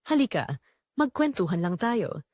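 A woman's voice: medium pitch, sing-song, a little slow, darkish tone, no echo. A woman voicing a flirtatious, persistent male online suitor, coaxing and romantic, in an audio drama. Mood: teasing, coaxing.

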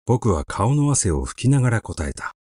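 A man reading a literary short story aloud as an audiobook narrator, with measured, expressive storytelling. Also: medium pitch, breathy edge, dry recording, clean studio sound.

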